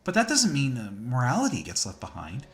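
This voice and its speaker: Opinionated, skeptical humanist. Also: male voice, mid-range voice, huge pitch swings, brisk, a bit bright. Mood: earnest conviction. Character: skeptical, opinionated atheist man doing humanist charity work. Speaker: video game character. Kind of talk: naturalistic video game dialogue.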